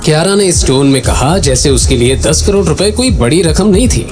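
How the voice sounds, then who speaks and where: A male narrator tells a scripted story with a very lively, swinging intonation. His voice sits slightly high for a man and has music playing behind it. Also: brisk.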